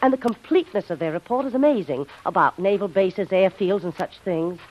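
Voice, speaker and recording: An actress playing a spirited, anxious and devoted young heroine in an old-time radio drama, speaking with earnest, dramatic delivery. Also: female voice, medium pitch, animated, brisk, lightly acted. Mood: businesslike. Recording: muffled, noisy vintage radio recording.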